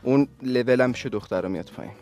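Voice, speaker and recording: A man's voice: mid-range voice, a little mellow, faint music behind, lightly acted. A man playing a jealous, nagging woman contestant in a comic sketch.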